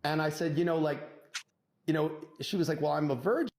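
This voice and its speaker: A man telling a courtroom anecdote as a divorce lawyer, in a punchy conversational way, acting out quoted dialogue with dramatic pauses. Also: fairly high pitch, darkish tone. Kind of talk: animated conversational storytelling.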